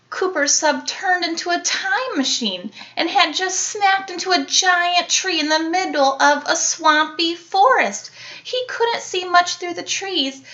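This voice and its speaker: A woman with a bright, fairly high voice reads a picture book to young children at an unhurried, slow pace. She is animated and leans into dramatic words and sound effects. The recording is a little dull and lo-fi. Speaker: elementary school teacher reading aloud. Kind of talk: expressive children's picture book read-aloud.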